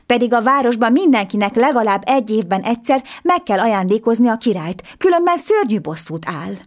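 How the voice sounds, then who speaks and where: A woman's voice: animated, lightly acted. A woman voicing an earnest, eager poor little boy in a storybook tale, asking questions and pleading. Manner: high, pleading, quick questions.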